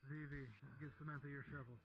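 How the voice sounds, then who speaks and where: A man's voice: a little high, level tone, fast, lo-fi sound. A man chatting and improvising in character as a player in a roleplay game. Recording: game music behind the voice. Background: music.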